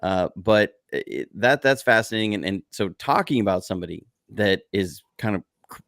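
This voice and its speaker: A man's voice: lowish voice, animated, moderate pace, creaky, a bit bright, no room sound, clean studio sound. A man about to turn forty, co-hosting a podcast and chatting casually in a rambling, reflective way with many restarts. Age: 30s. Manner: rambling, self-correcting, reflective. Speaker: podcast co-host. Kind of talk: casual chat.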